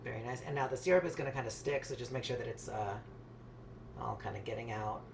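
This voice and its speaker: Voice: mid-range voice, fast talker. A person giving a casual, unscripted how-to narration as a home baker, in a chatty, rambling, hedging way. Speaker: home baker hosting a craft project video. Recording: clearly noisy home recording.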